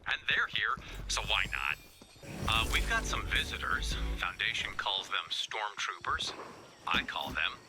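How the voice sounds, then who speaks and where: An actor playing an anxious, sarcastic video game scientist who rambles in wry asides and feigns calm. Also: male voice, on the low side, background noise. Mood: dry, conspiratorial. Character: anxious, sarcastic, fast-thinking male scientist. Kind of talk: video game character voicelines.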